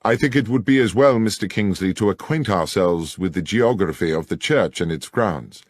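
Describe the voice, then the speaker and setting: A man playing an incisive, courteous Victorian gentleman detective in an audiobook, measured and formal. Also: low voice, no echo. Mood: cool, probing. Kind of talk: dramatized audiobook character dialogue.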